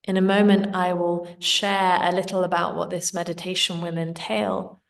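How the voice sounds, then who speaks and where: A woman leading a guided meditation in a gentle, soothing, unhurried manner. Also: fairly low pitch, level tone, gravelly, far from the mic. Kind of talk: slow guided meditation narration.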